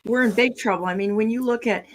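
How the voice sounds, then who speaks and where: A woman's voice: a little high, fast. An American woman talk show host giving conversational political commentary in an opinionated, emphatic way. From United States.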